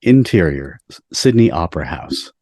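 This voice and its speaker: A man's voice: low voice, big pitch swings, moderate pace, a little mellow, dry recording, studio quality. A man giving scripted narration of screenplay stage directions on a video call.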